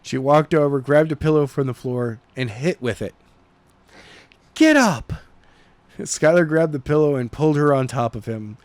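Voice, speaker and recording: Halting male story narrator, dry sound. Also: medium pitch, a little mellow, no echo. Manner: halting, stumbles over the text. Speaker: amateur audiobook narrator. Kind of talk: story narration read aloud.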